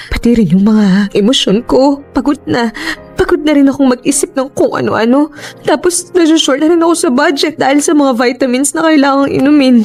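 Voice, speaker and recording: Casting: actress for distressed young pregnant Filipina, high voice, pleading and weary, for radio drama. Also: female voice, darkish tone, close to an everyday voice.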